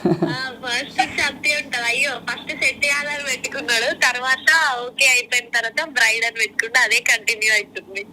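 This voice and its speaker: A woman's voice: a little high, steady tone, fast talker, pushed and bright. A woman with a Telangana Telugu accent, chatting casually on a phone call. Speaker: wife taking a phone call. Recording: phone call with background noise.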